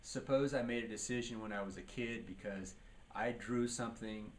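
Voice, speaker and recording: A man's voice: fairly low pitch, fairly even intonation, normal speed. A man giving a leadership training lecture, teaching by posing questions and answering them, with emphasis on key words. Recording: clearly noisy recording.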